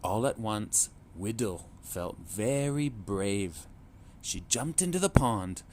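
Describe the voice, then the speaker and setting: A man reading a picture book aloud to children in a slow, clear, expressive storytelling manner. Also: fairly low pitch, slight background noise.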